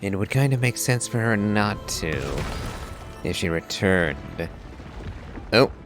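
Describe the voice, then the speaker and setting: Man, low-pitched voice, unhurried pace, musing playthrough commentary, soft game music. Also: lively intonation. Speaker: gaming video creator reading and commenting.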